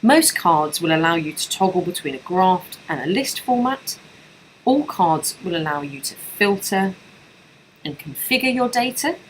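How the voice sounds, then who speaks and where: A woman narrating a scripted software tutorial as a trainer, explaining things step by step in an instructional way. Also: fairly low pitch, a little hiss or hum, rough recording.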